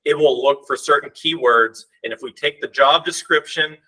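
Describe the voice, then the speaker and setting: A man's voice: a little high, normal speed, bright, forceful tone, slightly rough, low-quality recording. A middle-aged man from Ohio, a co-founder, explaining a job-search product on a webinar in a casual, conversational way over a home webcam. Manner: conversational, explanatory, fillers and restarts. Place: home room indoors. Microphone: video call webcam.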